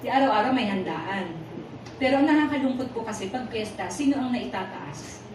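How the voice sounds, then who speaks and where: A woman's voice: a little high, fairly quick. Echoey, far-off Filipino woman preacher. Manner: animated and teasing, puts rhetorical questions to the congregation. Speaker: preacher at a Sunday worship service. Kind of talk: conversational sermon mixing Tagalog and English. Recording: echoey hall from far off, noisy.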